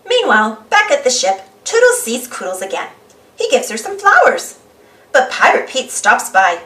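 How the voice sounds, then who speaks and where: A woman narrating a children's storybook in an animated read-aloud style. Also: fairly high pitch, moderate pace, far from the mic. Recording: roomy, voice away from microphone.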